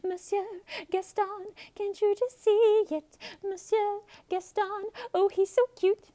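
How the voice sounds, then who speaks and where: A woman's voice: extremely high pitch, little pitch movement, slow, warm tone, voice pushed up. A woman voicing a lovestruck, swooning village girl, gushing breathlessly in a sing-song manner.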